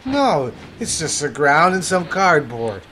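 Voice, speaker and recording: Reflective homeless narrator, played by a man, higher voice, noisy hiss behind. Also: very animated, slow pace, sharp tone, full character voice. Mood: uneasy. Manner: reflective, earnest storytelling. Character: weary homeless man narrating his story. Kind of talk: first-person drama narration.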